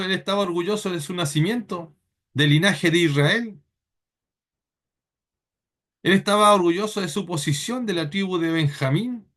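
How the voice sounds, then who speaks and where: A man preaches in a high voice, his plain throughout. He teaches earnestly and haltingly, often repeating words as he gathers his thoughts during a church sermon. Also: a bit bright. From Chile.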